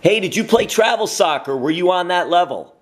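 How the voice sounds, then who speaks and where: A man's voice: high-pitched, expressive, brisk. A man hosting an interview, asking questions in an upbeat, enthusiastic way. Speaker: interview host. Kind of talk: casual interview.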